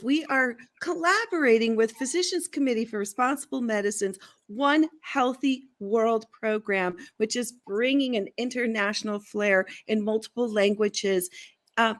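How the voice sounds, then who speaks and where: A woman hosting a live show, introducing her guest and handing over in a brisk, announcer-like way. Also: on the high side. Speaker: show host.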